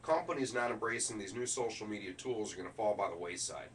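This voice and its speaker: A man's voice: lowish voice, fast, far from the mic, slight background noise, rough recording. A middle-aged man running through a keynote speech draft, switching between presenter delivery and offhand asides. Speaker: keynote speaker rehearsing a speech.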